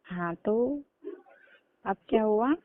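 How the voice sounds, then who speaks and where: A woman's voice: medium pitch, expressive, takes it slowly, slight background noise. A woman playing a nosy elder sister, asking prodding questions in casual colloquial talk over a phone. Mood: inquisitive. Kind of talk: casual phone chat, staged call. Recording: narrow, tinny phone-line sound.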